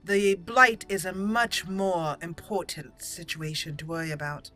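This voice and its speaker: A woman voicing a young female elf mage in roleplayed game dialogue, formal and deliberate, with pauses. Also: lowish voice, fairly even intonation, pushed and bright, soft background music. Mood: measured, questioning.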